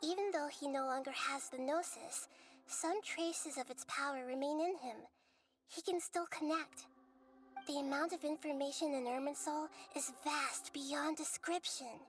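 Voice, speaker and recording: A high, youthful voice over soft music, a wise child goddess from a fantasy game. She is gentle and earnest, choosing her words in a measured, articulate way. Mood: calm, explanatory. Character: gentle, wise young girl deity of a nation. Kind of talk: scripted video game voice acting.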